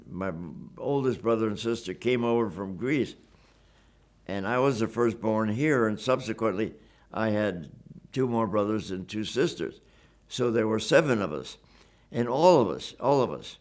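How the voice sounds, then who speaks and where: A man in his nineties, a war veteran, telling his story in a reflective interview, earnest and emphatic, often repeating and restarting his words. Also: on the low side. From Wisconsin, USA. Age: 90s. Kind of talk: reflective oral-history storytelling.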